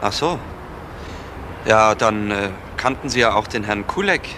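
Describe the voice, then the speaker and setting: An actor playing a blunt, matter-of-fact plainclothes detective, asking terse questions in a German crime radio play. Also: male voice, fairly low pitch, expressive, measured pace. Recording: noisy old radio drama recording.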